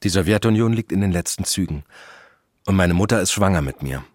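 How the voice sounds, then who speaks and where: A man narrating a radio drama about his family in a calm, matter-of-fact way. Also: low voice, a little fast, no echo, studio quality. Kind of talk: scripted narration.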